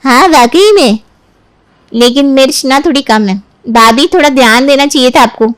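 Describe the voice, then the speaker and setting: Woman acting a high, nagging, bossy young sister-in-law. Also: moderate pace, dry recording, lightly acted. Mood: critical. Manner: nagging, condescending. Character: fault-finding, bossy young sister-in-law, sugary and smug.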